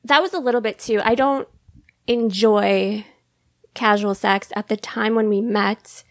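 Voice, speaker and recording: A woman's voice: medium pitch, steady tone, unhurried, no room sound, studio quality. A young woman with a girly voice, chatting candidly as a podcast guest and laughing often. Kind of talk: casual candid chat.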